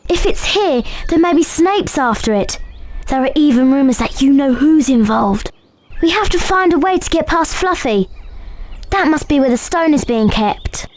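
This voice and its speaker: High-voiced earnest bookish schoolgirl. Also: expressive, normal speed, darkish tone, slight background noise. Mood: earnest, concerned. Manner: earnest, urgent, precise. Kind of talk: video game character acting.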